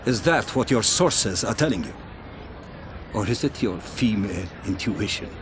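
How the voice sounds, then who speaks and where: An actor playing a proud, dismissive army general in a game cutscene, stiff and condescending. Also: male voice, lowish voice, animated, moderate pace, close to an everyday voice. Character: proud, dismissive male army general, stubbornly self-assured. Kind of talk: cinematic game cutscene acting. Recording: loud background noise.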